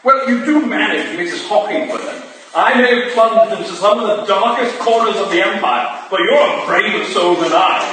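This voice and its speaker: An actor playing a jovial, teasing gentleman who banters and exclaims in a staged play reading. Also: sits high, animated, normal speed. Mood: playful teasing. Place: live staged reading in an echoey room. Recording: noisy, echoey room recorded from far off.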